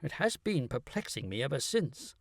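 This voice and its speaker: A man's voice: mid-range voice, very animated, normal speed, gravelly, dry recording, studio quality. A man voicing a grateful, curious aristocratic earl in an audiobook, with a courteous, formal manner. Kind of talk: audiobook character acting.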